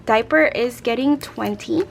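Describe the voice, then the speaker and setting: A young woman with a raspy, hoarse voice, chatting casually as a budgeting vlogger and counting out cash into envelopes. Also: a little high, measured pace, faint music behind. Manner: chatty, rambling, counts money aloud. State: hoarse from a late night. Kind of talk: casual chat while stuffing cash envelopes.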